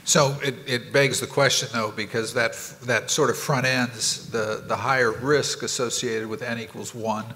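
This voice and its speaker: A man moderating a conference panel, halting and hesitant with frequent fillers. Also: medium pitch, steady tone, sharp tone, long reverb tail, a little hiss or hum, poor audio. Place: conference ballroom stage.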